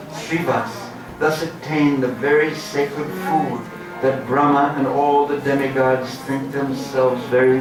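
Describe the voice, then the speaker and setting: A man giving a dramatic devotional scripture reading, reverent and deliberate with long pauses. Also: medium pitch. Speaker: devotional reader and chant leader. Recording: echoey, distant, music behind.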